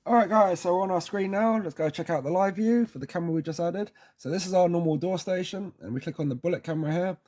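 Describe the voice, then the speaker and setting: A man's voice: mid-range voice, mellow. Casual man presenting a how-to tutorial. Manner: informal, reassuring, often checks in with tag words.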